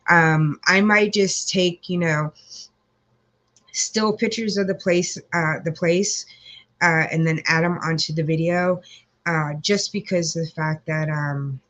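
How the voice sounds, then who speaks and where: A woman's voice: low-pitched, highs cut off. A woman hosting a casual live chat, congested, rambling with many fillers as she greets viewers. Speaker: livestream host.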